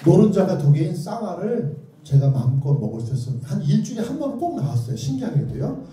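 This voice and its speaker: A man's voice: sits high, sing-song, fast, dark tone, a little hiss or hum. A man giving an interactive lecture in a theatrical storytelling manner, putting either-or questions to his listeners. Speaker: children's author and lecturer. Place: indoor lecture room with strong echo. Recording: very echoey room, distant microphone.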